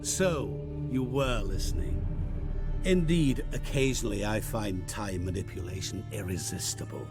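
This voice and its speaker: A man's voice: lowish voice, measured pace, lightly acted. An actor playing a sly, mocking male trader of wishes in a game cutscene, speaking in a silky, teasing, theatrical way. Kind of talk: video game cutscene acting. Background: music.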